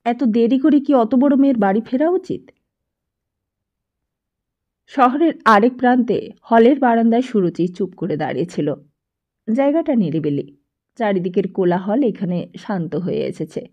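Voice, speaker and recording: A woman reading a literary story aloud as an expressive audiobook narrator. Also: a little high, normal speed, long pauses. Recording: dull lo-fi sound.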